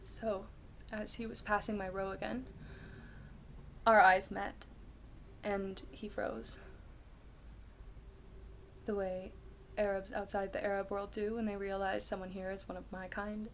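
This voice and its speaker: A woman performing a candid, self-deprecating young woman in a dramatic stage monologue, with halting, confessional delivery and dramatic pauses. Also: medium pitch, little pitch movement, slight background noise, lightly acted. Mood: haunted, regretful.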